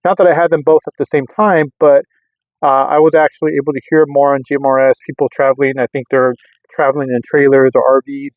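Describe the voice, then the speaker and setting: A man's voice: mid-range voice, flat delivery, quick pace, dry recording. Man rambling casually, dry and slightly dull sound. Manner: repetitive, insistent, circling back to restate points. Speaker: radio enthusiast vlogger. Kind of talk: casual rambling product test talk to camera. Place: in a vehicle on a road test.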